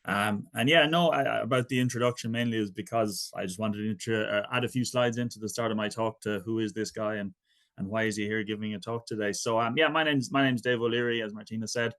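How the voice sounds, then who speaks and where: An Irish man in his thirties with a fairly low voice, speaking over a video call. He gives his lecture in a relaxed, conversational way and drops in frequent asides. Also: fast talker. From Ireland. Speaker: guest lecturer. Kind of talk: online academic talk.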